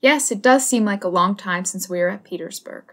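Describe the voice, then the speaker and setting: A woman's voice: mid-range voice, fairly quick, a bit bright, faint music behind, lightly acted. A woman playing a polite teenage princess. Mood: fond, polite. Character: affectionate, polite seventeen-year-old German princess. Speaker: actor in an audio drama. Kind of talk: dramatic reading of personal letters.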